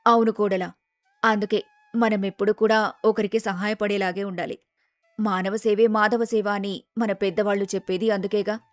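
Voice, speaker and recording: A woman voices an older mother-in-law in a storybook tale, firm but caring as she advises her family. The sound is close and dry, with soft music behind. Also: mid-range voice, fairly even intonation, moderate pace, no echo, pitched below the performer's own voice, close to an everyday voice. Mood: wise, approving. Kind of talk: storybook character dialogue.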